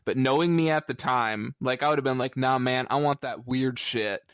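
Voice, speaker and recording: A man in his thirties chatting casually on a podcast as a panelist, telling things in an animated, joking way. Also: mid-range voice, normal speed, clean tone, no room sound, studio quality. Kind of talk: casual comics podcast chat.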